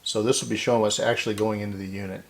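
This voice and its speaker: A man's voice: on the low side, fairly even intonation, racing, gravelly. A middle-aged man hosting a casual hands-on repair demonstration, talking to himself unscripted as he works. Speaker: host of a vintage electronics repair channel. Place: indoor electronics workbench.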